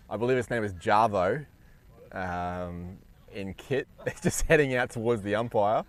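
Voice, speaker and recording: A man commentating a cricket match live, chatty and quick to laugh. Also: fairly low pitch. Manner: chatty, laughs easily, many restarts.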